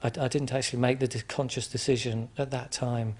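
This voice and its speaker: A man's voice: mid-range voice, little pitch movement, fairly quick, a little mellow. Hesitant English man, thoughtful interviewee. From England. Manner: hesitant, many restarts and ums. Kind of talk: conversational interview.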